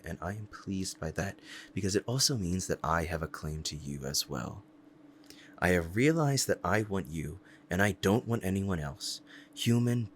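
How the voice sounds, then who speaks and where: Proud demon lover, played by a man, deep-voiced, intense and deliberate, ASMR roleplay, faint background noise. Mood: insistent, possessive. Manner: intense, deliberate, dramatic pauses. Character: powerful possessive demon bound to a sorcerer, devoted, proud. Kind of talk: ASMR roleplay acting, dramatic monologue.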